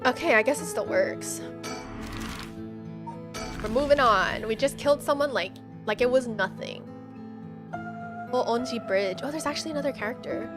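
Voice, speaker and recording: A woman livestreaming a game, reading its text aloud and reacting in casual slang. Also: fairly high pitch. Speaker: streamer playing a horror visual novel.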